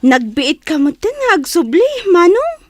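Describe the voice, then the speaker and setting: A woman's voice: sits high, sing-song, a little slow, no room sound, clean studio sound. A woman acting a caring young girl, an affectionate, pleading little sister, in a radio drama.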